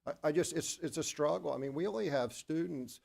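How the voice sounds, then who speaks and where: Gravelly man rambling at a board meeting. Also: a little high, a little mellow. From Oklahoma. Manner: rambling, self-deprecating, halting restarts. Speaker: school board member.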